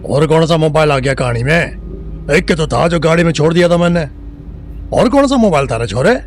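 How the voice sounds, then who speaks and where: A man acting a crude, threatening police sub-inspector in an audio drama, with a gruff manner and a Haryanvi accent. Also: fairly high pitch, animated, moderate pace, slight background noise, natural voice. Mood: gruff, impatient. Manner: gruff, menacing interrogator, swearing freely. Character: crude bullying male Indian police sub-inspector, threatening and foul-mouthed.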